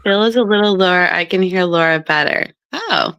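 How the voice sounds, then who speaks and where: A woman co-hosting a live stream in a casual chat, loud and talkative and quick to laugh. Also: lowish voice, clean tone, no room sound, muffled recording. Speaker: co-host. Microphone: streaming microphone.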